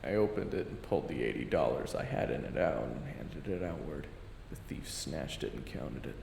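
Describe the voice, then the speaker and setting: A world-weary, brooding man with a dark past , played by a male voice actor in an audio drama. His voice sits extremely low and gravelly, with wide swings in pitch. The sound is roomy. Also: expressive, moderate pace, slight background noise, full character voice. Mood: brooding, grim. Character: brooding weary ex-killer husband fighting his inner demon. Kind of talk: audio drama first-person narration and dialogue.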